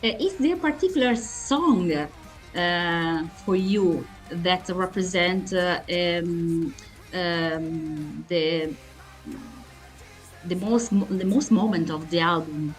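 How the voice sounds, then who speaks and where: A woman asks interview questions in halting, speech. She speaks very slowly and on the low side, often stopping to search for a word. Faint music plays underneath. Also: poor audio. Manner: halting, searching for words in non-fluent speech.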